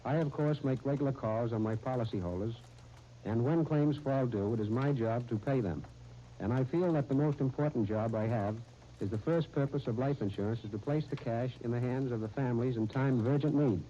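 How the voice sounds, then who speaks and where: A man's voice: medium pitch, brisk, warm tone. A middle-aged American man giving stiff, formal scripted answers as a guest on 1930s radio. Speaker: insurance agent guest. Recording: muffled, faintly noisy 1930s radio.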